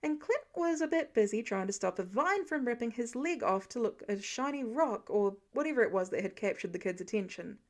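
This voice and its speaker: A woman narrating scripted fiction as an expressive audiobook-style reader. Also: a little high, a little fast, hardly any pauses.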